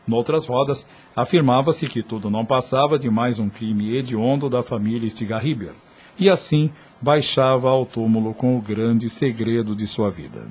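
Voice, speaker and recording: A man's voice: medium pitch, moderate pace, a little mellow, slightly rough. A man narrating a radio soap opera from a script in a solemn, literary storytelling manner.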